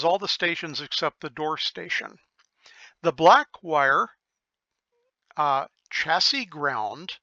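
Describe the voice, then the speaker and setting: An older man giving an informal technical explanation, methodical and thinking aloud with pauses. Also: fairly high pitch, sharp tone, no echo, highs cut off. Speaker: electronics repair hobbyist presenter.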